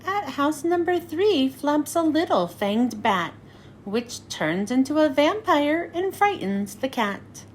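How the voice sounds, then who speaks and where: A high-pitched woman narrates a children's picture book. Her delivery is slow and sing-song, swinging widely up and down as she follows the rhymes. There is some noise behind her. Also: big pitch swings. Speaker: children's read-aloud host. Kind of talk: scripted storybook narration for children.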